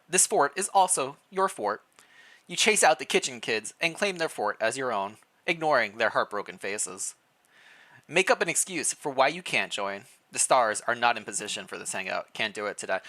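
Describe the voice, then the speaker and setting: A streamer reading fan fiction aloud in a playful, expressive way, mixed with casual livestream chat. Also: medium pitch, moderate pace.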